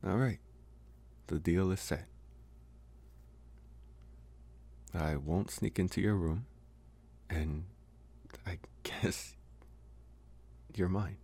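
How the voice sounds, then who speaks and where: A young man playing a socially awkward college vampire, possessive yet earnest, speaking haltingly in an intimate ASMR roleplay. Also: deep voice, vocal fry, a little mellow, exaggerated voice. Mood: hesitant, bargaining. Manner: halting, hesitant, trying to sound intimidating.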